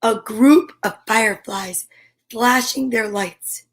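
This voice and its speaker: A woman with a high voice reads a children's picture book aloud. She takes her time, drawing words out expressively and dropping in chatty asides as the host of the storytime. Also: slow.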